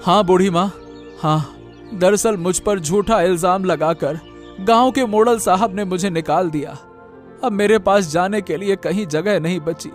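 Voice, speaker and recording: Man acting high-pitched pleading young villager. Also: measured pace, faint music behind. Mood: humble, respectful. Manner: pleading, repeats himself. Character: desperate young male villager, wrongly banished, pleading. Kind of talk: Hindi cartoon moral-story acting.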